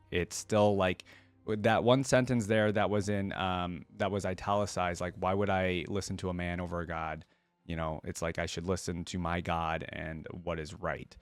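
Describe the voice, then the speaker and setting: A man's voice: fairly low pitch, no echo. A man hosting a reaction video, thinking aloud in an informal, casual commentary style.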